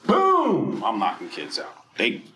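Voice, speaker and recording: Animated man telling stories in an echoey room. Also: medium pitch, takes it slowly, a little hiss or hum. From New Jersey, US. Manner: animated, profane, swings between loud and quiet. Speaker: speaker at a political mixer. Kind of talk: personal storytelling speech. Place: event room. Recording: echoey room with faint ambience.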